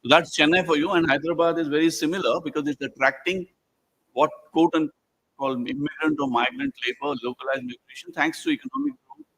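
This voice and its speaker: A man with an Indian accent moderating a panel discussion, speaking in a conversational, anecdotal way with halting digressions and lists. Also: on the high side, expressive, creaky, a bit bright, rough recording. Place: live event before a small audience.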